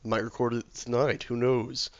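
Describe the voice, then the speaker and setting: A man with a sore throat and a slightly hoarse voice, casually commentating his game while he builds, mumbling and thinking aloud to himself. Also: medium pitch, little pitch movement, moderate pace, no room sound, lo-fi sound. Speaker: let's play gamer.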